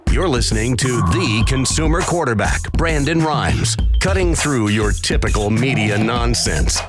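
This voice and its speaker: Casting: mid-pitched male announcer, smooth promo read, loud music bed. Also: animated, measured pace. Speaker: station announcer. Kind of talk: scripted promo voice-over.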